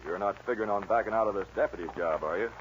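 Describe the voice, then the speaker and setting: Actor as an earnest, low-voiced western lawman. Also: rapid-fire, close to an everyday voice. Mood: persuasive. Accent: American. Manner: earnest, plain-spoken. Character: earnest, steady lawman friend warning a young gunfighter. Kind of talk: 1940s radio western acting. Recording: dull, hissy old radio recording.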